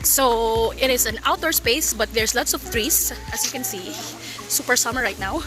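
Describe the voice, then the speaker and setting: Woman, Filipino accent, fairly high voice, cheerful casual outdoor vlog, music behind. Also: normal speed. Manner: giggly, cheerful. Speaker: vlogger reviewing a product. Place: outdoors in a forest.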